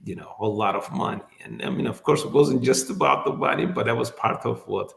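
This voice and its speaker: A man's voice: medium pitch, brisk, creaky, reverberant. A middle-aged man from Azerbaijan, native speaker of Azerbaijani, telling his story in a casual interview, thoughtful, with many fillers and restarts. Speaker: immigration lawyer, guest.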